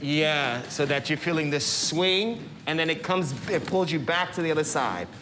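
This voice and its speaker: A man's voice: a little high, animated, moderate pace. A man teaching a dance class, counting out steps and coaching his students. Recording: studio noise in background.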